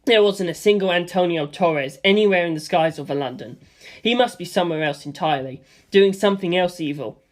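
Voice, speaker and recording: Give this a male amateur reader working through an action novel in the first person. He tells the story in an animated way, as the hero recounting his own fight. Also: high voice, a little fast.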